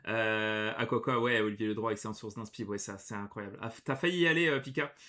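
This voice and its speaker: Stream host guy, bright tense voice, greets chat, reads msgs. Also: on the low side, fast. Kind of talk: casual live-stream chat.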